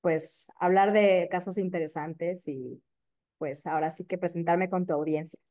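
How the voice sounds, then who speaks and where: A woman in her thirties from Mexico City with a Mexico City accent, a periodontist guest chatting casually and filling pauses with "este" and "eh". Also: fairly low pitch, steady tone. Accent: Mexico City Spanish. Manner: warm, chatty, many fillers like "este" and "eh". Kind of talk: casual interview chat.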